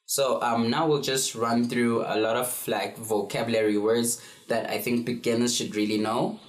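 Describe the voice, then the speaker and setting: A man's voice: mid-range voice, flat delivery, moderate pace. A man in his twenties from South Africa giving a casual beginner language lesson, easygoing, with frequent ums and fillers. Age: 20s. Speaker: language tutor. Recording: roomy echo.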